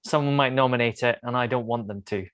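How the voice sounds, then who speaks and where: A man's voice: medium pitch, fast talker, fairly bright tone, no room sound, clean studio sound. A man talking casually as a panelist on a podcast. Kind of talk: casual chat.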